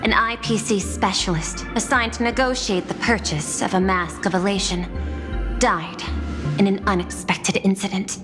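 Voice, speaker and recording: A woman's voice: medium pitch, fairly even intonation, close to an everyday voice. Formal woman executive in a reverberant hall, acted. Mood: grave, formal. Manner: formal, measured. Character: composed, dutiful woman corporate executive officer. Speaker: corporate executive character. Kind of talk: scripted game voice acting. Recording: long reverb, loud music behind.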